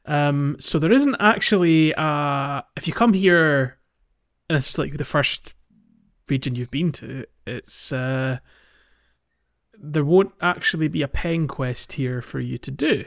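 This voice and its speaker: A man's voice: a little high, slow pace, vocal fry, a bit bright, no echo. Man, dull lo-fi recording. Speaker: podcast host. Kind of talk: casual game playthrough chat.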